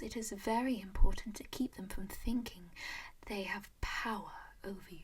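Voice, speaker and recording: A composed vampire noblewoman, voiced by a woman, who speaks only in a slow, airy whisper. She coaxes with pet names like darling and dear, gentle but quietly possessive. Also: mid-range voice, slightly rough. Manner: soft whisper throughout. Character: composed, doting female vampire noble who owns a servant. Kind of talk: whispered ASMR roleplay.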